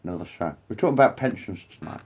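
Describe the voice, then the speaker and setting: A man's voice: low-pitched, animated, fast, a little mellow, slightly rough. A man in his late forties rambling to camera, repeating himself, swearing and wandering off topic. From England. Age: 40s. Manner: repetitive, swears often, digresses. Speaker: vlogger.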